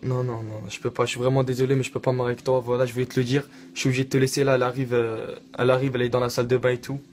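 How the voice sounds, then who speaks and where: A man's voice: mid-range voice, little pitch movement, rapid-fire, a little mellow, a little hiss or hum. A young man talking casually and theatrically, in slang, in a prank call vlog, recorded on a phone at arm's length in a small room. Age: young adult. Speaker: online prankster.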